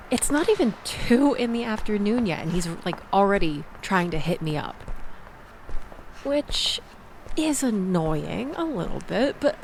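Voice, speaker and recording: A woman's voice: medium pitch, background noise. A woman playing a chatty, exasperated young woman in a casual audio roleplay, rambling and self-deprecating as she tells her story. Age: young adult.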